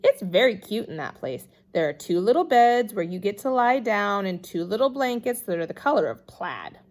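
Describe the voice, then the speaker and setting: A woman giving an expressive read-aloud of a children's chapter book in an animated manner. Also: medium pitch, moderate pace. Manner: animated, acts out the dialogue. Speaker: storybook reader.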